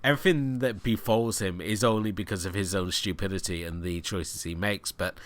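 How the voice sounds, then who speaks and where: A man co-hosting a podcast, giving relaxed, enthusiastic film recommendations. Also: deep voice, moderate pace. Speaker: podcast co-host. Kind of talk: casual film discussion.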